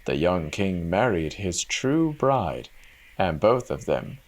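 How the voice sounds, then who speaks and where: A man's voice: low-pitched, very animated, slow. A man giving a dramatic reading of a fairy tale as a storyteller.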